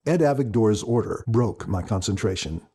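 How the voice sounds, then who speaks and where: A man reading scripted first-person audiobook narration in a measured storytelling manner. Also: fairly low pitch, lively intonation, dark tone, breathy edge. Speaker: author narrating his audiobook.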